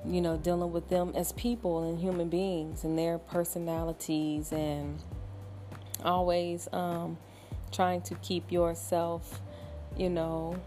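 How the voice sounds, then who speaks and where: A woman from Ohio hosting her own podcast at home late at night, talking casually in a soft, smooth voice with lots of fillers and small laughs. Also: lowish voice, slow pace, faint music behind. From Cincinnati, Ohio. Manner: relaxed, chatty, with frequent fillers and soft laughs. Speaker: podcast host. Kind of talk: casual solo podcast talk.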